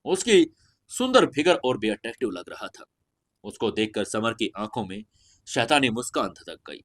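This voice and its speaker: A man's voice: mid-range voice, fairly bright tone. A man narrating a scripted fantasy audio story in a dramatic storytelling style.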